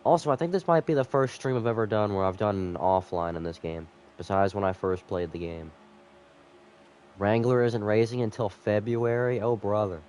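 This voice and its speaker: A man's voice: fairly low pitch, moderate pace, a little mellow. A man sick with a cold, slightly nasal, rambling casually to viewers as he commentates a live game stream.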